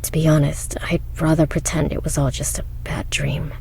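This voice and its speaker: A woman's voice: deep voice, little pitch movement, moderate pace, creaky, dark tone, whispered. A woman voicing a sardonic, exasperated, skeptical girl in a visual novel game. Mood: uneasy. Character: skeptical, exasperated, sarcastic girl stuck at a convent.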